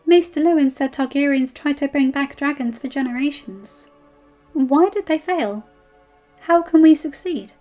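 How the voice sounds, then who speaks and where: A woman's voice: high-pitched, moderate pace, warm tone, pitched above the performer's own voice, close to an everyday voice. A woman voicing a bold, earnest young noblewoman in a fantasy story, with direct and formal speech. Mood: eager, curious. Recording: muffled audio with music.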